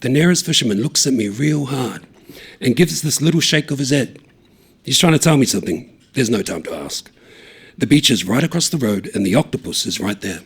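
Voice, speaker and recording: Gravelly man telling a story. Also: medium pitch, a little fast. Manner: lively, acts out the dialogue, shouting some lines and dropping to a hush on others. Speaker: reader of a short story. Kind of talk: dramatic storytelling with character voices.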